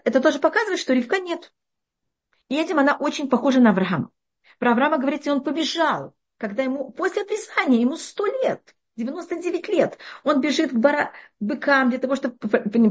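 Middle-aged woman teacher, quick and fairly high, animated, restarts a lot. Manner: animated explaining with frequent restarts and rhetorical questions. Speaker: Torah teacher. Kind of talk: interactive religious class.

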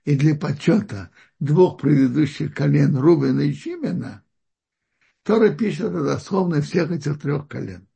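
A man giving a religious lesson on scripture, explaining slowly and often stopping to restart his sentences as he searches for words. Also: fairly high pitch, gravelly, a little mellow, slightly rough. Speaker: Torah teacher.

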